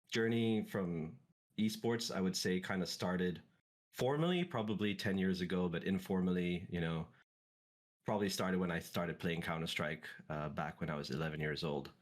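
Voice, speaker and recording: A man from Singapore with a notably low voice talks through his background in an easy, unhurried way. He circles back and restarts his thoughts often, reflective rather than polished. It sounds like a relaxed guest in a casual conversation. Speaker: esports team CEO, guest.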